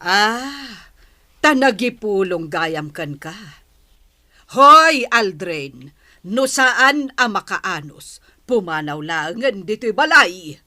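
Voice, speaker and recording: Bright, sharp-voiced stern mother. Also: mid-range voice, sing-song, slow, no room sound, exaggerated voice. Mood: scolding. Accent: Ilocano. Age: middle-aged. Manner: nagging, stern. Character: stern middle-aged mother, scolding and demanding. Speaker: radio drama actress. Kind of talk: Ilocano radio drama acting.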